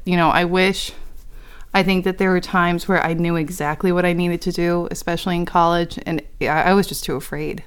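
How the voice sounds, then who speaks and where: Earnest young woman, smooth low voice. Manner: earnest, encouraging. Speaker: podcast co-host. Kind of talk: casual chat.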